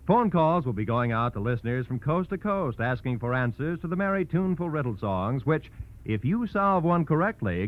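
An American man announcing on 1940s radio, reading scripted credits and promotions with polished, formal showmanship. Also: medium pitch. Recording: dull vintage radio recording.

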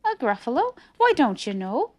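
A woman's voice: high voice, wildly swooping pitch, moderate pace, a little mellow, pitched above the performer's own voice, exaggerated voice. A woman voicing a small, clever, brave storybook mouse, polite and boastful in a prim, exclamatory way. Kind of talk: children's storybook character voice.

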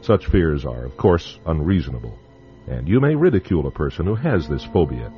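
A man with a very deep voice hosts and narrates a vintage radio suspense show. He sounds theatrical and knowing, with pauses for effect and a pitch that swings widely. The recording is dull and muffled, with faint music beneath. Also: big pitch swings. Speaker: host narrator. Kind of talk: radio drama host narration.

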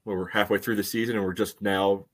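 A man co-hosting a sports podcast, giving casual soccer analysis in a measured, conversational way peppered with "you know.". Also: lowish voice, level tone.

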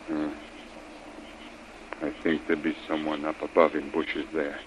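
An actor playing a loyal, watchful native servant in an old-time radio adventure, speaking terse, deferential broken English. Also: male voice, rumbling low, monotone, a little slow. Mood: deferential, wary. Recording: old radio broadcast with faint noise.

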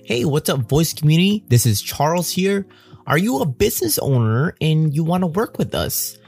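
A man reading a promotional sponsor spot in an upbeat pitch. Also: big pitch swings, moderate pace, creaky, a little mellow, husky, soft background music. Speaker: ad reader. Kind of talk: read sponsor ad.

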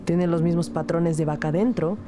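Determined female detective played by an actress, lowish register, first-person crime podcast narration over prominent music. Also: fairly even intonation, normal speed, natural voice. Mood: tense narrating. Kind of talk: scripted first-person audio drama narration. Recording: music bed behind the voice.

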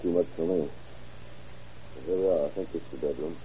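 A man's voice: low-pitched, fairly even intonation, a little fast, dark tone, a little hiss or hum, lightly acted. A man playing a keen investigator in an old-time radio crime drama. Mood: inquisitive. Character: keen, hunch-following male investigator assisting sheriff. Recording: dull muffled old radio recording.

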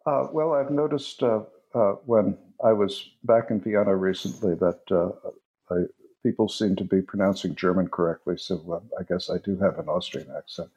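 Deep-voiced older man, hesitant. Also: moderate pace, darkish tone, poor audio. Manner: thoughtful, hesitant with many fillers. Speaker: author and musicologist guest. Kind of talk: interview answers.

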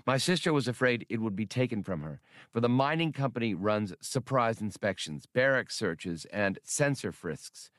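A man's voice: lowish voice, lively intonation, moderate pace, a bit bright, no room sound. A curt woman adventurer in a space audiobook , voiced by a man in close to his own voice. The delivery is businesslike and no-nonsense. The sound is very dry. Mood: matter-of-fact.

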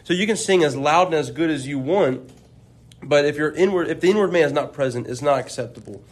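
A man's voice: a little high, brisk. A man co-hosting a podcast, talking through scripture in an earnest, thoughtful way, often restarting his sentences. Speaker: podcast co-host. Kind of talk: casual religious discussion.

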